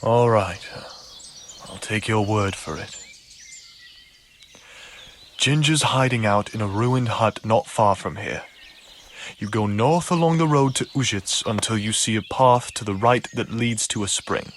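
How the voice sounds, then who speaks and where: An actor playing a guarded, evasive medieval charcoal burner in a video game, speaking in a wary, hedging way. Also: male voice, on the low side, a little slow, long pauses, slight background noise, lightly acted. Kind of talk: video game cutscene dialogue.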